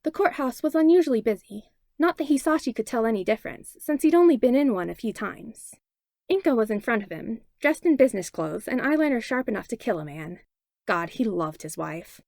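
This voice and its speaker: A woman narrating a fanfiction audiobook in an expressive read-aloud manner on a close mic. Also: fairly high pitch, a little fast, creaky, no room sound. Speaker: fanfiction podfic reader. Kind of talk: storybook narration.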